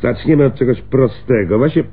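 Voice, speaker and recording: A man's voice: medium pitch, fairly quick, natural voice. An actor playing a brusque, sardonic male homicide lieutenant in a radio play, wry and bossy, drawing out words for emphasis. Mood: jovial, bossy. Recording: muffled, phone-like radio recording with hiss.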